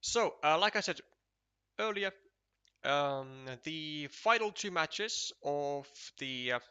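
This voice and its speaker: A man with a Finnish accent giving casual, unhurried commentary on a board game match for a live stream, chatty and full of fillers. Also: on the high side, expressive, sharp tone, clear, resonant tone, muffled recording.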